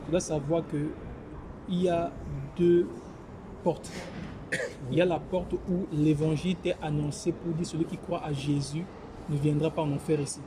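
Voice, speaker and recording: Man, street evangelist, slightly high voice, steady questioning, traffic noise behind. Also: moderate pace, pauses often. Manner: calm, probing questions. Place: city street outdoors. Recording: noisy street with passing traffic.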